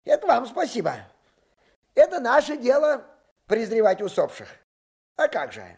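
An actress playing a kindly, quaint old mortuary attendant in a Soviet radio drama, with a creaky, husky voice. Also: female voice, a little high, sing-song, normal speed, darkish tone, exaggerated voice. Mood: cheerful, chatty.

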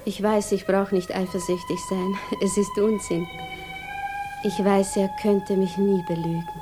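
An actress playing an anxious, lovesick young woman in a 1950s German radio drama, with a breathy voice that often drops to a whisper. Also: medium pitch, level tone, a little slow, over music, lightly acted. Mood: wistful jealousy.

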